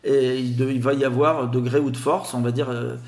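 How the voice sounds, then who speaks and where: A man with a slight Grenoble accent speaks in a lively, sing-song manner as a podcast guest. His voice sits at an ordinary pitch. The room adds a clear echo around him. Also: fairly quick. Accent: Grenoble French. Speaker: guest, telemarketing expert. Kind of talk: podcast interview.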